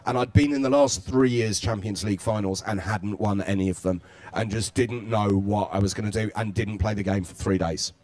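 A man in his forties speaks bluntly and with dry jokes on a live panel, his voice on the low side. The handheld mic gives a close, dry sound. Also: fairly even intonation, fairly quick, hardly any pauses, darkish tone, dry recording. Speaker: game studio director on a panel. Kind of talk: casual panel chat. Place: stage before a live audience. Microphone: handheld microphone.

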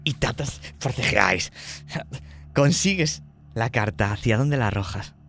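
A man's voice: medium pitch, lively intonation, measured pace, creaky, fairly bright tone, faint music behind. A man narrating a tabletop horror game as game master, describing scenes vividly and dramatically.

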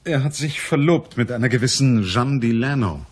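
An actor playing a businesslike, courteous private detective in a radio crime drama, with a husky voice and a measured, matter-of-fact manner. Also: male voice, mid-range voice, animated. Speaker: narrator and lead actor.

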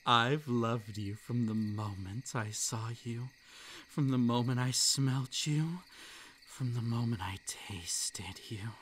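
A man's voice: mid-range voice, slow, a little hiss or hum. A man voicing an unhinged yandere kitsune. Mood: soft, possessive. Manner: gleeful, unhinged laughter between lines; drops to soft breathy whispers. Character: possessive yandere male kitsune monster, menacing. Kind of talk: roleplay ASMR.

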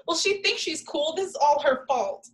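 A woman's voice: high voice, lively intonation, normal speed. A woman reading the part of a jilted, vengeful seventeen-year-old girl in an amateur script read, indignant and dramatic. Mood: hurt, indignant. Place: home room, video call.

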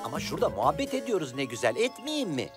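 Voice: mid-range voice, very animated, quick pace, music in the background, lightly acted. A performer voicing a cheerful, curious young car in a children's cartoon, playful, talkative and cheeky. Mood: cheeky, protesting. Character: cheerful, chatty, curious young red self-driving car. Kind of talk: animated children's cartoon acting.

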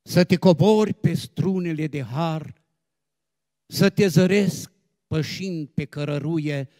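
A man reciting devotional poetry solemnly and expressively, with dramatic phrasing and deliberate pauses. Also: on the high side, mellow, dry recording. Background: instrumental music.

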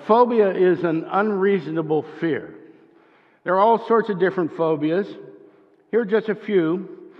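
An older man, a pastor, preaching a sermon from a church pulpit in a wry, conversational way with dry jokes. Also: a little high. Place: church sanctuary at a wooden pulpit. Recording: dull sound with long church reverb.

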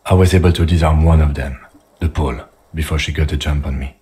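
An actor playing a calm, dutiful police lieutenant in a video game, speaking in a measured, clipped, understated way. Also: male voice, extremely low pitch. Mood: subdued, factual. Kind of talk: video game dialogue acting.

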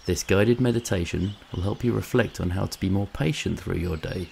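A man narrating a scripted guided meditation in a calm, soothing, measured way, with long pauses. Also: sits low, vocal fry, slight background noise.

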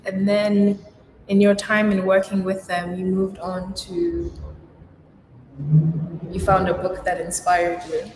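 A woman who manages development at a gallery, interviewing an artist with brisk, encouraging prompts. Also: mid-range voice, flat delivery, a little mellow. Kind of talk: conversational interview. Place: gallery showroom, indoors. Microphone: video call. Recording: roomy echo with faint noise.

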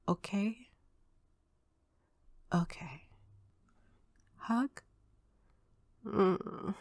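A woman playing an affectionate, reassuring spouse and step-parent in an ASMR roleplay, speaking in a gentle, soothing, tender manner. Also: lowish voice, dry recording, studio quality. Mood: tender, soft.

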